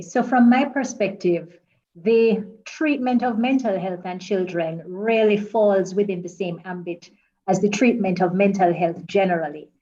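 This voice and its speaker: A woman with a Jamaican accent, speaking as a panelist in a formal, measured way. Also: mid-range voice, darkish tone, dull audio. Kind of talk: panel discussion.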